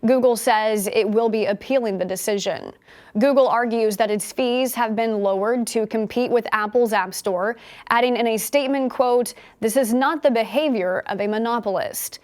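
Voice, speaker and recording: An American woman working as a news anchor, reading scripted news in a measured, formal newsreader delivery. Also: fairly high pitch, a little mellow.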